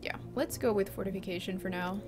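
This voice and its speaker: A woman with a Finland-Swedish accent talks through her choices as she streams a game, musing aloud in a chatty, unhurried way. Her voice sits at an ordinary middle pitch. Game music plays clearly behind her. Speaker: let's play streamer. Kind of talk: casual gameplay commentary.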